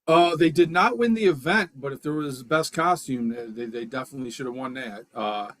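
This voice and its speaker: A man co-hosting a live stream, speaking haltingly and repeating words. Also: medium pitch, fairly bright tone. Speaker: co-host.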